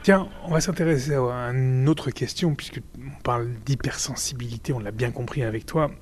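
A man's voice: mid-range voice, moderate pace, a little mellow, faint music behind. A man hosting a podcast interview, asking probing questions and short follow-ups in a casual tone. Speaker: podcast host.

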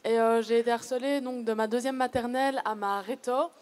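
A woman from Belgium with a Belgian French accent, asking a spontaneous question from an audience in a hesitant, halting way. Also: on the high side, steady tone, a little mellow.

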